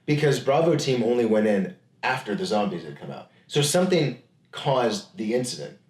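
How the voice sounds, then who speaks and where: A man co-hosting a podcast in casual chat, lively and quick to agree and add his own opinions. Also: mid-range voice. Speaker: podcast co-host. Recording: echoey room.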